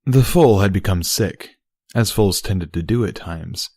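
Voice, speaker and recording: Guy reading story narration, kinda low voice, very dry close sound. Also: unhurried, a little mellow, breathy edge, dry recording. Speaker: audiobook narrator. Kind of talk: scripted story narration of fan fiction.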